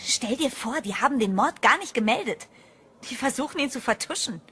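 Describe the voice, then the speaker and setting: Actress doing a determined lady sleuth, high-ish voice, horror audio play with clear music behind. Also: lively intonation, a little fast, natural voice. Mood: determined, secretive. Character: young female amateur sleuth, determined and wily. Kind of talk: audio drama acting with first-person narration.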